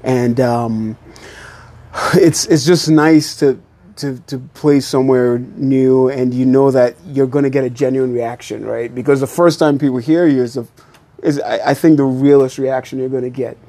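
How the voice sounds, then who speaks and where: A man from Nigeria, a musician, chatting in a relaxed, hesitant way outdoors, often repeating words and saying "you know.". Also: mid-range voice, moderate pace. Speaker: musician guest. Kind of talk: casual interview chat.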